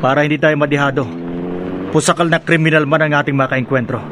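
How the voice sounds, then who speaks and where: Guy acting brisk cop sergeant in radio drama, talks fast, noisy engine background. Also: a little high, steady tone, natural voice. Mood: businesslike, wary. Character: brisk, wary armed police sergeant. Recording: noisy vehicle sound behind.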